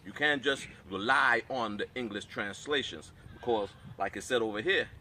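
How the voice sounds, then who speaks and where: A man teaching a Hebrew class, explaining in a measured way and reading scripture aloud. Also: medium pitch, sharp tone, slight background noise. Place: outdoor training camp.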